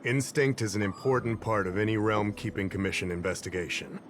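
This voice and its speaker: An actor voicing a relaxed, authoritative male official in a video game, confident and lightly humorous. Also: lowish voice, brisk, natural voice. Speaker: commander of an investigation. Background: game music.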